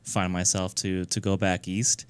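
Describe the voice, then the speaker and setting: Man, 30s, from New Hampshire. Low, smooth voice; earnest interview guest who restarts often. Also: flat delivery, no echo. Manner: earnest, halting, many fillers and restarts. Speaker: guest, nonprofit founder. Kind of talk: casual radio interview.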